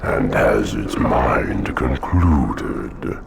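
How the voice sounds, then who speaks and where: A man's voice: rumbling low, lively intonation, vocal fry, very noisy, exaggerated voice. A man playing a huge, ancient alien monster in a video game, ominous and grandiose, drawing out each pronouncement. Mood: ominous, grave. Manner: slow, drawn-out, grandiose pronouncements. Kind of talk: video game cutscene acting.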